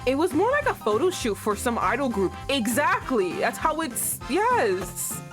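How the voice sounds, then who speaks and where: A woman hosting a game stream, excitable and laughing a lot, swearing freely as she reacts. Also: sits high, measured pace. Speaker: streamer, host. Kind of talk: casual game stream chat. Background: game music.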